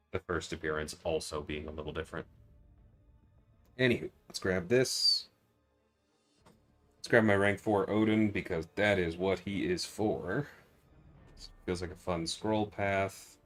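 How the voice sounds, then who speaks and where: A man with a very low-pitched voice talks over a game as a livestream host, with music playing behind him. He rambles casually, thinking out loud and addressing viewers as he goes. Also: a little slow, pauses often. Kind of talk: casual gaming livestream commentary.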